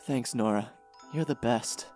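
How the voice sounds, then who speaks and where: A man's voice: mid-range voice, unhurried, a little mellow, faint music behind, close to an everyday voice. A man playing a guarded, conflicted teenage son in an anime fan audio drama. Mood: grateful.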